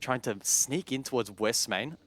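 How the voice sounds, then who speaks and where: A man giving tactical color commentary on a competitive shooter match, steady and detailed. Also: medium pitch, unhurried. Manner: steady, detailed tactical explanation with occasional self-corrections. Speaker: esports analyst caster. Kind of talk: analytical esports color commentary.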